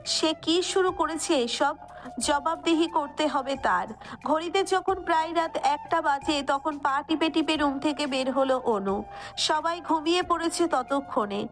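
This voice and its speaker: A high-pitched female voice reads a scripted romantic tale as narrator. Faint music runs underneath the narration.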